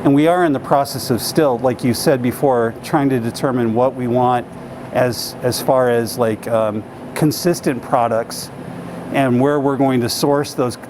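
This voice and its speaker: A man's voice: mid-range voice, steady tone, measured pace, noisy background. Chatty man hosting a store walk-through. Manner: conversational, stumbling restarts. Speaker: TV host. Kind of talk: casual on-location interview. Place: grocery store aisle.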